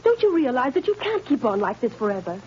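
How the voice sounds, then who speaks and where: An actress playing a worried, pleading companion in a 1930s radio drama. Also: female voice, fairly high pitch, sing-song, fast talker, a little mellow, slight background noise. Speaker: lead's companion. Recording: muffled 1930s radio broadcast.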